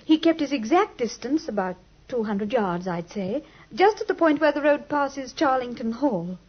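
A woman's voice: sits high, animated, moderate pace, husky. An actress playing a genteel, earnest young British lady client, speaking expressively in the manner of 1950s radio drama. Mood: earnest, uneasy. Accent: British English. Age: young adult. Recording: muffled, lo-fi 1950s radio recording.

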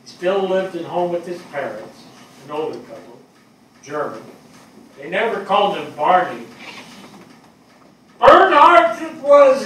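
An older man playing an affectionate, weary fire captain in a staged dramatic reading, telling stories conversationally with pauses and repeats. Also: sits high, bright, forceful tone, heavily acted. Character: weary, affectionate fire captain reminiscing about lost men. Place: small theatre stage before an audience. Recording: echoey theatre, far from mic, faint noise.

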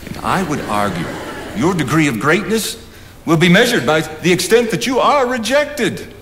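A lofty older mentor of the 1800s on stage. His highish voice rises and falls widely as he urges and orates, ringing through a theatre. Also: big pitch swings, moderate pace, a bit bright. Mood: oratorical. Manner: oratorical, emphatic. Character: older philosophical mentor, lofty, persuasive 19th-century gentleman. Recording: live theatre, reverberant, faint noise.

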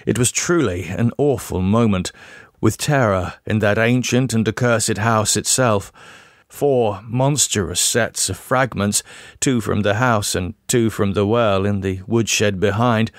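Male audiobook narrator, fairly low voice, measured and dramatic horror reading, dry sound. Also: no echo. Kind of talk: scripted narration of a horror tale.

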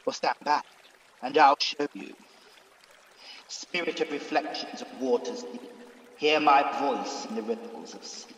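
A man's voice: mid-range voice, measured pace, pauses often, a bit bright, in a large hall, lightly acted. An actor playing a scheming, boastful Demon King villain in a radio pantomime, with a grandiose, theatrical delivery. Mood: grand, incanting.

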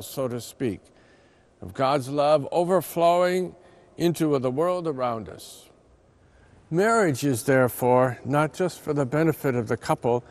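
A man in his seventies with an American accent, a priest reading a scripted reflection in a measured, solemn manner with deliberate emphasis. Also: a little high. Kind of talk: scripted reflection read aloud.

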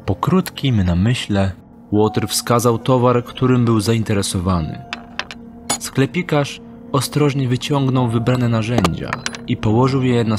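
A man narrating an audio drama in a measured, descriptive way. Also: mid-range voice.

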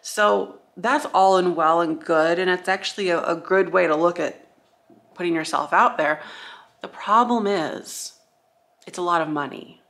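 A man's voice: high-pitched, unhurried, creaky, sharp tone. A young man, a vintage shop owner, chatting casually and rambling to a handheld camera indoors. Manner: chatty and rambling, many "like"s and restarts. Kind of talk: casual vlog chat.

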